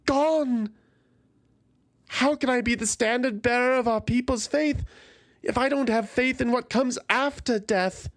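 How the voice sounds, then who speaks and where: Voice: mid-range voice, gravelly, voice pushed up. A narrator voicing an anguished young feathered warrior woman in a fantasy audio story, impassioned and pleading, full of despair and self-loathing. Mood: grieving.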